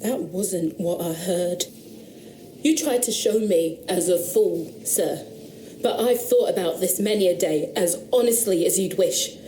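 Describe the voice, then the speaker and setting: A woman's voice: lowish voice, mellow, roomy sound, a little hiss or hum, lightly acted, low-quality recording. Woman playing a shaken country girl. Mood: defiant. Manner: halting, hesitant, rustic speech. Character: frightened young country woman, insistent witness. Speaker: witness questioned about noises. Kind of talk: radio drama acting.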